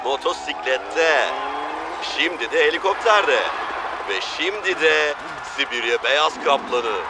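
An actor playing a hyped-up cartoon reality-show announcer with a showy delivery. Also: male voice, on the high side, lively intonation, slow, a loud crowd, heavy background noise.

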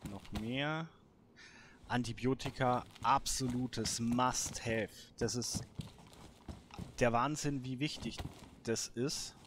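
A man hosts a gameplay series in a casual way. He speaks slowly at a medium pitch, thinking aloud in short, broken phrases. The recording has noticeable noise and clicking. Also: fairly bright tone. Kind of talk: casual let's play commentary.

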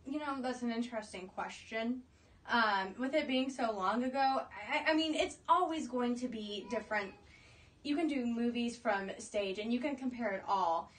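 A woman's voice: on the high side, moderate pace. A woman in her twenties being interviewed as an actress, chatting casually in a small room with a distant microphone. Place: small indoor room, seated in armchairs.

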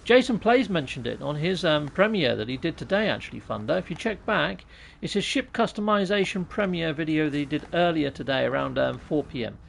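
A British man in his thirties live-streams a game from a small room at home, his slightly higher voice full of bounce as he jokes with his chat. His tone carries a gravelly edge, and a faint hiss sits under the USB mic. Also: expressive, a little fast. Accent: British English. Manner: jokey, self-mocking, chats with viewers. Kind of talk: casual live-stream chat while playing. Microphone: USB mic on top of a laptop.

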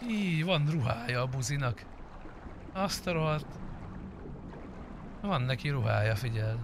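A man's voice: medium pitch, animated, background noise. A man chatting casually and swearing as he plays an online roleplay game. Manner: swears often, reacting to gameplay. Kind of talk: casual gaming chat, profane.

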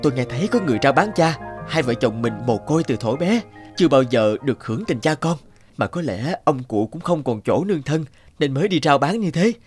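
Earnest young cartoon husband, kind and considered, fairly high voice. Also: male voice, animated, brisk, breathy edge, soft background music. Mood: sympathetic. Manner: earnest, thoughtful. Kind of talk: cartoon voice acting.

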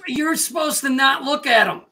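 A man's voice: extremely high pitch, bright, forceful tone. A man hosting a comedic video-call show, joking, protesting and laughing easily. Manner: playful, protesting, quick to laugh. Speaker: host.

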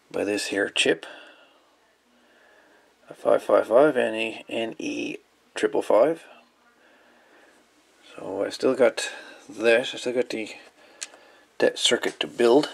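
A man's voice: fairly low pitch, vocal fry. A man hosting a casual hands-on electronics demo, rambling and thinking aloud as he goes. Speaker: hobbyist video host.

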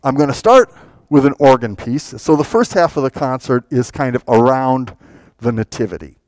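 An older man in his sixties greets a concert audience as organist and composer, with an eager, chatty manner. His voice sits in a middle register and comes through a clip-on mic, dry and close, even though he stands in a large wooden church. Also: medium pitch, moderate pace, no room sound. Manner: chatty, enthusiastic, warm asides. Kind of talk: informal concert introduction. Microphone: clip-on lapel mic.

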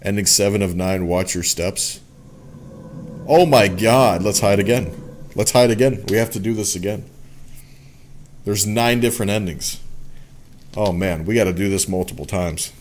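A young man giving casual, jokey let's play commentary and reading game dialogue aloud, with excitable reactions. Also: fairly low pitch, normal speed, long pauses, slight background noise. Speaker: gaming streamer playing a horror visual novel.

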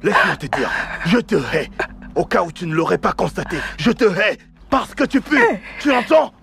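An actor dubbing a boastful, scornful young man who shouts insults. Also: mid-range voice, very animated, normal speed, gravelly. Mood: contemptuous, shouting.

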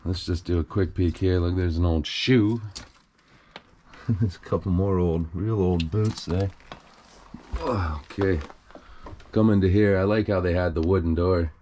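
A man's voice: sits low, mellow. A man hosting a casual exploring vlog, talking to viewers as he walks around with an action camera. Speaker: host of an abandoned-places exploration channel. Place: on the move through a derelict property, RV, overgrown yard and house.

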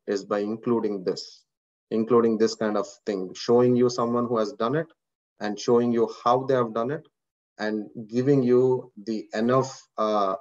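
A man's voice: mid-range voice, a little mellow, muffled recording. A man with an Indian accent, a course instructor giving an earnest motivational talk to students on a video call, repeating words and using many fillers.

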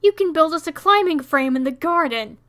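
A man's voice: very high-pitched, animated, fairly quick, fairly bright tone, pitched above the performer's own voice. A man voicing a scheming, bratty little girl who acts sweet, in a children's story. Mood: sly.

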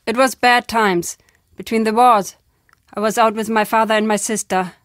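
Actress as worldly old woman storyteller, higher-pitched. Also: steady tone, normal speed, no echo, studio quality, close to an everyday voice. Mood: somber. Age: older. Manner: dramatic, emphatic storytelling with foreboding turns. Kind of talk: video game voice acting.

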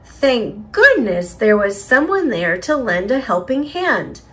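A woman reading a children's picture book aloud with careful, expressive delivery for young listeners. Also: on the high side, slow. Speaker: storybook reader.

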